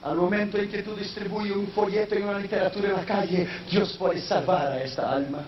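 A man preaching a sermon in a language that is not his first, slipping in English phrases and rising into shouted, sung-out peaks. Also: high-pitched, fairly even intonation, a little fast. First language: not Spanish. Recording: echoey, distant, dull sound with faint noise.

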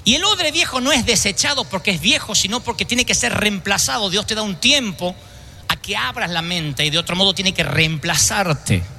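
Man preaching in church, really bright high voice, punchy, keeps asking the crowd stuff, faint hiss behind. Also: expressive, moderate pace, creaky. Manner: emphatic preaching with rhetorical questions to the congregation. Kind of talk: sermon. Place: church service.

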